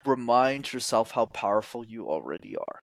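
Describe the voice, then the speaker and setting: A young man in his twenties speaking as a communication coach in a casual interview, lively, acting out quoted voices with sudden jumps in pitch. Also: normal speed, vocal fry, dry recording.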